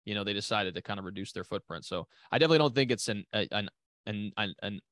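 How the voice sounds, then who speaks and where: A man's voice: lowish voice, fast talker, fairly bright tone, no room sound. A man hosting a casual call interview, hesitant, often repeating words and saying "you know". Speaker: interview host. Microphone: call audio.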